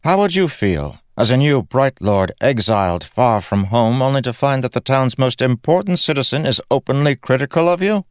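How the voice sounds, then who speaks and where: A man voicing a stern, no-nonsense townlord's wife who talks in a brisk, scolding manner. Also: medium pitch, expressive, clean tone, no room sound, clean studio sound, voice pushed up, natural voice. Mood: curt.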